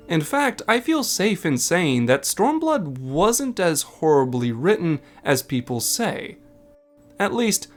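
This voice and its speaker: A man delivering scripted narration as the host of a video essay. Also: medium pitch. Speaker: video essay narrator and game content creator.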